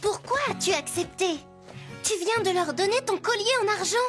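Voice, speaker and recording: A woman voicing a lively, curious young girl who is easily amazed, in a French animated series dub. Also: very high-pitched, moderate pace, music in the background. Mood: concerned.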